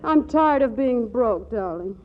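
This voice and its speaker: High-voiced, flustered young wife. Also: female voice, expressive, a little slow, a little hiss or hum. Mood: fretful, insistent. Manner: flustered, fluttery, stammering repeats. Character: restless, exasperated yet loving young wife. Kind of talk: 1940s radio comedy acting. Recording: dull 1940s radio broadcast recording.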